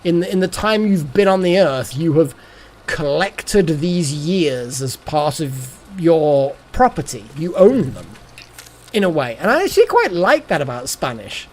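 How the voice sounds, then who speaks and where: A man's voice: on the high side, very animated, a little slow, darkish tone. A man in his thirties chatting casually on a live game stream, rambling and repeating words as he muses aloud.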